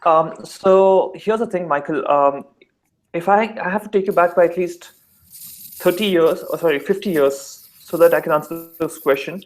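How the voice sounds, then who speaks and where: A man's voice: fairly high pitch, moderate pace, poor audio. A man with an Indian accent, a lawyer explaining things in casual online debate, hesitant with many fillers. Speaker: lawyer and discussion guest.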